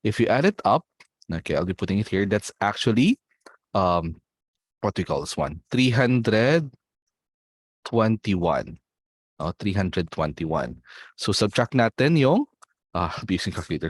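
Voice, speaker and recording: A man with a Filipino accent leads an online olympiad math session, walking through the solution step by step and slipping Tagalog words into his English. His voice sits fairly low, and the sound is dry and close, with no room around it. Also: no room sound. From Philippines. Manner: explains step by step, mixing in Tagalog words, checks in with students.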